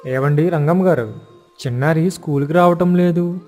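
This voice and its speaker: A man voicing a kindly, encouraging village schoolteacher with a teacherly manner in an animated moral story. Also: fairly high pitch, normal speed, faint music behind, close to an everyday voice. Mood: concerned.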